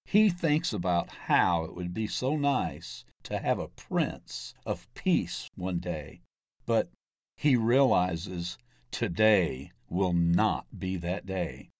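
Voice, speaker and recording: A man reading a written interview aloud as scripted narration, in a measured and deliberate manner. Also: low-pitched, expressive, no echo, studio quality. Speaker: reader of articles.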